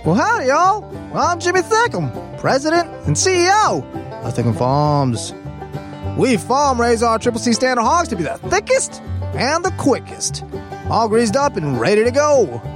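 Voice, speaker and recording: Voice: medium pitch, huge pitch swings, moderate pace. Folksy southern farm ceo selling stuff, hammy drawl all over the place, country music behind. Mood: folksy, boastful. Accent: Southern American. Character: Jimmy Thickum, folksy boastful country hog farm boss. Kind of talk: hammy radio commercial parody.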